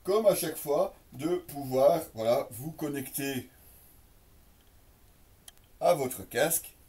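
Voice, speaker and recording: Distant, higher-pitched man presenting an unboxing. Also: takes it slowly, dark tone. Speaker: product reviewer and presenter. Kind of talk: casual unboxing demonstration.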